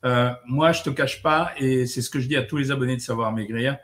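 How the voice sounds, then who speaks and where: A man's voice: mid-range voice, brisk. A middle-aged man, a doctor answering viewer questions in a casual, chatty way, with many fillers. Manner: relaxed, chatty, lots of "euh" and "hein". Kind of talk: casual live Q&A, reading out comments.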